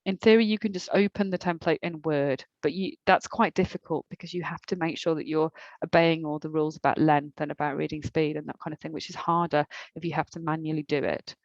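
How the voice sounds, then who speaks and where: A woman with a slight accent and a lowish voice works through audience questions as a subtitling trainer, pausing often with ums and restarting her sentences. The sound is a dull, lo-fi video call with a dry, close feel. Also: steady tone, dry recording. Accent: slight non-native accent. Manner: hesitant, many ums and restarts, reads questions aloud. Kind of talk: answering audience questions in a webinar.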